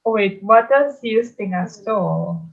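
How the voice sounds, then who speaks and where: A woman's voice: medium pitch, expressive, unhurried, creaky. A woman playing a confused, earnest teenage demigod boy in a theatrical table read, bewildered and questioning, on a slightly dull microphone.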